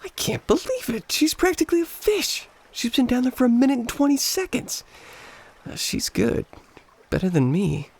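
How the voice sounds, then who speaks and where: A man's voice: very high voice, huge pitch swings, normal speed, breathy edge, heavily acted. A man playing an awkward, smitten young American vacationer in a radio play, hesitant and self-effacing. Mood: impressed, sheepish.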